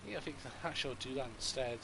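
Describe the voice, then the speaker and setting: A man's voice: mid-range voice, racing, gravelly, background noise. A man with a stuffy nose from a cold, casually thinking aloud as he plays a farming game for his channel. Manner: rambling, thinking aloud while playing. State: congested from a cold. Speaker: gaming channel host. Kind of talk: casual gameplay commentary.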